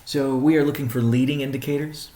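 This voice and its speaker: Low-voiced guy, mellow and halting, ceo fielding questions on a conference call, roomy echo. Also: steady tone. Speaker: company chief executive on a conference call. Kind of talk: answering read-out questions on a business conference call.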